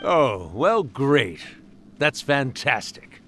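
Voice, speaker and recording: A man's voice: medium pitch, sing-song, drawn-out words, fairly bright tone, a little hiss or hum, heavily acted. A man playing a playful, boastful, centuries-old fox spirit in a video game, with a jaunty, teasing, theatrical delivery. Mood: fond. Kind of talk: English video game voice acting.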